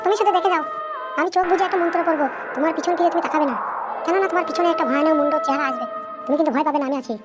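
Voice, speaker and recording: A woman's voice: high voice, rapid-fire, loud music behind the voice, natural voice. A woman voicing a friendly, confident cartoon ghost who coaxes and reassures in comic dialogue. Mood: reassuring. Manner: coaxing, confident. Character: friendly, reassuring ghost recruiting a human helper.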